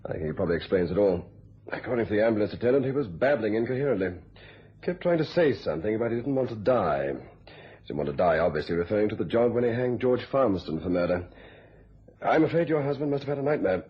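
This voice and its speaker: A courteous British police inspector speaks quickly and formally, with a rough, husky edge. He is matter-of-fact and businesslike. The radio thriller recording sounds slightly dull. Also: lowish voice, expressive. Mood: formal sympathy.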